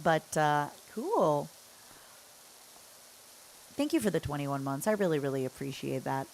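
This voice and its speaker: A woman's voice: sits low, expressive, measured pace, a little hiss or hum, rough recording. A woman livestreamer chatting casually as she games, rambling and playful as she talks to her viewers. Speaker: livestreamer, host. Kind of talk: casual chat while gaming, answering chat.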